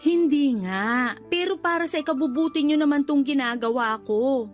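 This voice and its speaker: A woman's voice: high voice, normal speed, soft background music, lightly acted. A woman acting in a Tagalog radio drama as a married homeowner who nags and lectures her older brother. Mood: scolding, indignant. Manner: nagging, preachy, emphatic.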